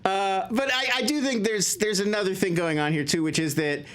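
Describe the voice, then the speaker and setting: A man's voice: high voice, fairly quick, a bit bright. A man giving casual commentary as a guest on a talk show, conversational and prone to digressions.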